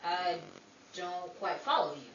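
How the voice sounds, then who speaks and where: A woman's voice: on the low side, animated, slow, fairly bright tone. A woman acting a curious, hesitant questioner in the manner of a 1930s radio drama. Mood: puzzled. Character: curious, hesitant female questioner at a death scene. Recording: muffled, noisy, echoey, distant mic.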